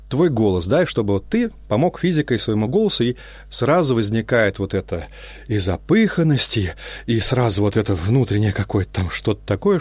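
A man's voice: medium pitch, dry recording. A man directing a radio theatre, giving a reflective spoken introduction to a radio play, explaining at length with hesitant fillers.

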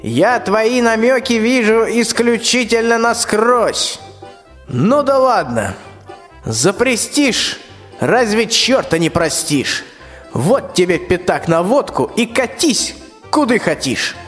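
A man's voice: high voice, lively intonation, slow, a bit bright, exaggerated voice. A man playing a bossy, threatening, suspicious tsar in a rhymed fairy-tale radio play. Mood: grudging. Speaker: student radio-play actor. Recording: reverberant hall, music underneath.

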